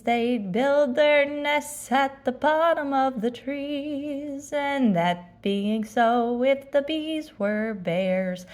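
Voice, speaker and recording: A woman's voice: on the high side, a little mellow. A woman voicing a honey-loving, ponderous male toy bear for a children's storybook, musing and exclaiming emphatically. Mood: singsong. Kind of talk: children's storybook character reading.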